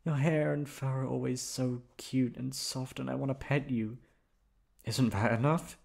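A man's voice: mid-range voice, steady tone, a little slow, vocal fry, a little mellow. A man acting as a teasing, affectionate boyfriend in an intimate ASMR roleplay, coaxing and pleading playfully. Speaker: voice actor performing an audio roleplay.

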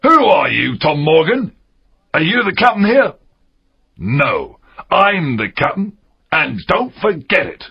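An actor playing a fierce, cunning pirate captain in an audiobook drama, gravelly and husky, with a theatrical drawl. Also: male voice, on the high side, big pitch swings, slow, long pauses, dull audio, full character voice. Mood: furious, defiant. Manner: theatrical, drawling, swooping delivery.

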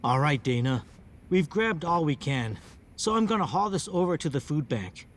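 A man's voice: a little high, big pitch swings, takes it slowly, slight background noise. A man playing an easygoing, helpful young charity worker in a video game. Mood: casual. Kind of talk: scripted bilingual game dub.

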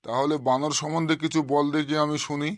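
A man's voice: fairly high pitch, level tone, fairly quick, no room sound, close to an everyday voice. A man voicing a short-tempered middle-aged male schoolteacher in a comic cartoon, blunt and sarcastic with his pupils. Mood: quizzing. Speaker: cartoon voice actor.

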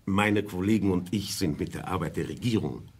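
An actor playing an emphatic, deferential industry delegate in a German radio drama. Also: male voice, sits low, a little fast, slightly rough. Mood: pleased.

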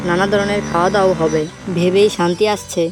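A woman's voice: lowish voice, fast talker, very noisy, close to an everyday voice. A woman voicing a greedy, scheming male fox in a cartoon who loves parties and bosses the others around. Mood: delighted. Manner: excitable, bossy. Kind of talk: cartoon voice acting. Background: background music.

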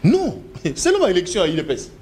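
A man's voice: wildly swooping pitch, a little fast, a little mellow, slight background noise. A middle-aged man with a Congolese French accent, debating emphatically in a TV studio at a desk mic, mixing French and Lingala. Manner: emphatic, gesturing, swinging pitch, switching between French and Lingala. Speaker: political debate guest. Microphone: desk microphone.

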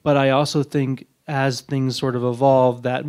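A man's voice: mid-range voice, flat delivery, a little slow, darkish tone. A man speaking conversationally as a panelist, with thoughtful, tentative phrasing, into a handheld microphone. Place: indoor auditorium panel before an audience.

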